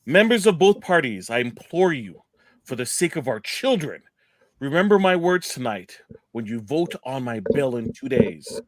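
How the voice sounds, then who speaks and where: A man's voice: medium pitch, sing-song, moderate pace, no echo, close to an everyday voice, low-quality recording. A man playing an alarmist senator in a radio play, giving formal, emphatic political oratory. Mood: urgent, preaching. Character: alarmist male senator giving an urgent speech.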